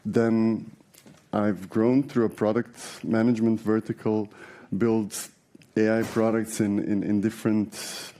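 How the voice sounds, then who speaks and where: A man speaks from a conference stage in a low, mellow voice. He talks in an easygoing, conversational way, pausing on fillers and restarting phrases as he goes. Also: flat delivery. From Serbia. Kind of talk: conference keynote talk. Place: large conference hall.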